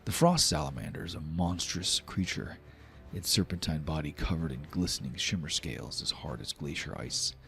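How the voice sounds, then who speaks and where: A man's voice: a bass voice, animated, moderate pace, fairly bright tone, soft background music. A man running a tabletop fantasy game, mixing dramatic storytelling with casual, sweary table talk. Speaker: game master.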